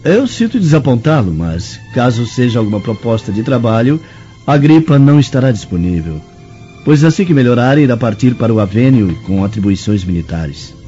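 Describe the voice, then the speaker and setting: Mellow, formal young Roman nobleman, played by an actor. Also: mid-range voice, very animated, a little fast, faint music behind, lightly acted. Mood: politely firm. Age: young adult. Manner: formal, theatrical. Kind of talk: dramatic radio play acting. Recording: muffled radio drama recording.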